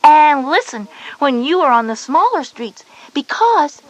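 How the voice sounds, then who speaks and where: Big-sister schoolgirl, high-pitched, explanatory, patient, scripted kids' cassette dialogue. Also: big pitch swings, a little slow, dull audio. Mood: patient, instructive. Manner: explanatory, instructive.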